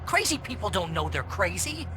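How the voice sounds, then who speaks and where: An actor playing an excitable, sarcastic imaginary best buddy in a video game, needling and flippant. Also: male voice, sits high, normal speed, soft background music, full character voice. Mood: excited teasing. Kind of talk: animated video game dialogue.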